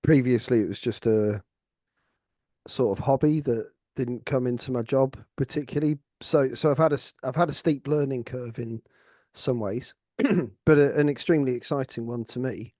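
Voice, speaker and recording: Mellow middle-aged Englishman, dry close sound. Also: mid-range voice, no room sound. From Lincolnshire, England. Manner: earnest, halting, repeats words. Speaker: academic researcher and co-host. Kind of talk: reflective conversational discussion.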